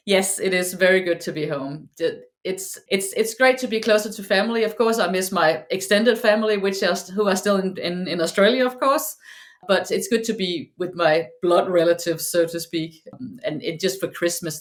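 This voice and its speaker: A middle-aged woman from Denmark with a Danish accent, chatting as a podcast guest, speaking English haltingly with many restarts and repeated words. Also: lowish voice. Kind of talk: casual chat.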